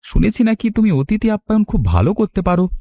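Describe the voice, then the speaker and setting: A man acts a boastful, sly village rogue in an audio story. His voice is husky and mellow, swinging widely in pitch. He cajoles with a swagger. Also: sing-song, fast talker, full character voice. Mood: sly, menacing.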